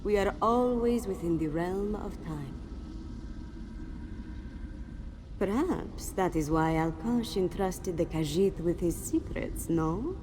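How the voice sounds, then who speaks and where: A solemn cat-woman sage from a fantasy video game. Her voice sits fairly low and moves slowly, weighty and enigmatic as she speaks like an oracle. Clear background noise runs under her. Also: animated. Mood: cryptic, reflective. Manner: solemn, cryptic, speaks of self in third person. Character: grave, mystical Khajiit cat-woman warrior sage.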